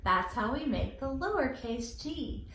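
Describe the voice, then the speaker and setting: A woman's voice: high-pitched, animated, a little slow, fairly bright tone. A middle-aged woman hosting a storytime for young children, speaking in simple, sing-song phrases with emphatic words and questions to her listeners. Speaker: children's library storytime host. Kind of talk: children's lesson with rhymes and questions to young viewers. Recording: roomy echo with background noise.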